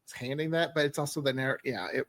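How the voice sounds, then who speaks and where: A man's voice: mid-range voice, steady tone, quick pace, fairly bright tone, no room sound, clean studio sound. A man from the United States chatting casually as a podcast co-host. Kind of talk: casual chat.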